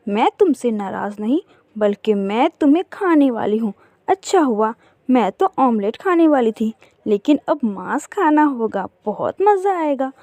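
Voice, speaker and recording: A woman's voice: on the high side, sing-song, unhurried, warm tone, no echo. A woman voicing a big female bird of prey in a cartoon story, bossy and scolding yet motherly. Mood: scolding, menacing.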